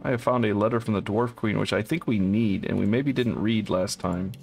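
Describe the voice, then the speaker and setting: Gravelly-voiced man hosting a game playthrough. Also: on the low side, brisk, faint music behind. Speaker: let's play host. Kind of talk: casual co-op gameplay chat.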